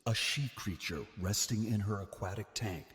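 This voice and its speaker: A man's voice: fairly low pitch, normal speed, a bit bright. A man narrating a horror drama as a scripted, dramatic storyteller. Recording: long hall-like reverb.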